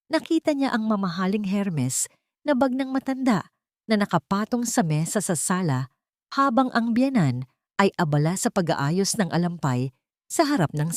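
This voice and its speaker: A woman with a fairly low voice narrates a scripted story in a dramatic style. The recording is very dry, with no room sound. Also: a little mellow, dry recording.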